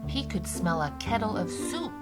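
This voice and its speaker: A woman reading a children's story aloud in an expressive, playful way, with music behind her. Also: mid-range voice, normal speed. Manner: expressive, playful sound words. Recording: loud music under the voice.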